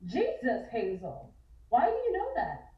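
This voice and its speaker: A woman's voice: on the high side, wildly swooping pitch, unhurried. A woman acting a brusque, jumpy investigator who hides her nerves in a table read, dry and clipped. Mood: edgy. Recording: roomy, dull, distant microphone.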